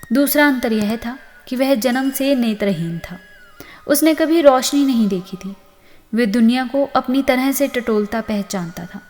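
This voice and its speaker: A woman narrating a scripted moral story as host, with an expressive storytelling delivery. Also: on the high side. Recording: long reverb on the voice.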